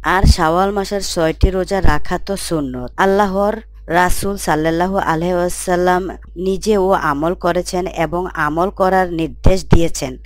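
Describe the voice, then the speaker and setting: A woman's voice: lowish voice, normal speed, no echo, natural voice. A woman playing a devout, attentive wife and mother in a scripted Islamic story, speaking in an earnest, explanatory manner.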